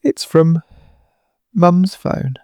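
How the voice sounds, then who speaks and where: A male actor voices an anguished husband in an audio drama. His fairly high voice leaps and falls widely as he pleads, raw and desperate. The sound is dry and close. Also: animated, drawn-out words, darkish tone, no echo, full character voice. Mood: shaken disbelief. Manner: pleading, emotionally raw, swooping delivery. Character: anguished, desperate husband whose mother is kidnapped.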